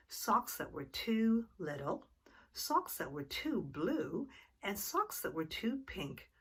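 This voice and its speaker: A woman's voice: low-pitched, husky. A woman reading a children's story aloud expressively, as if to young listeners. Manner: asks the young listeners questions. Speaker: storytime reader.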